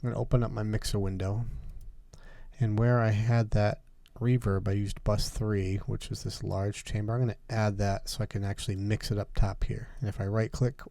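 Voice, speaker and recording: A man teaching music production in a methodical software tutorial, explaining each step as he goes. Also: on the low side, little pitch movement, moderate pace, gravelly, no room sound. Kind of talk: software tutorial, talking through steps.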